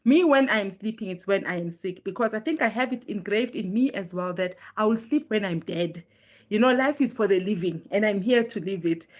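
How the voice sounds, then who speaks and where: A woman hosting a casual motivational live stream, her voice hoarse and strained from illness as she pushes it, chatting earnestly and greeting viewers by name. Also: mid-range voice, lively intonation, brisk. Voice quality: normally very loud voice, pushing it now.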